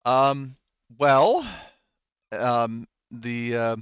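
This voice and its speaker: Guy doing a polite lady debate host for an rpg, formal, smooth, dry sound. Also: mid-range voice, extremely slow, a bit bright, no echo, dull audio. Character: formal, composed female debate moderator.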